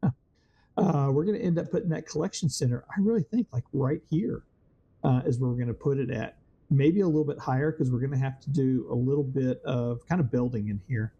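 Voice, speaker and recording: Let's-play host, man, a bit hoarse, dark mellow tone, meandering and filler-heavy, easygoing commentary. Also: medium pitch, fairly quick, muffled recording. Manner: rambling, thinks aloud with many fillers. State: slightly hoarse, voice worn from weather change. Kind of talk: casual gameplay commentary.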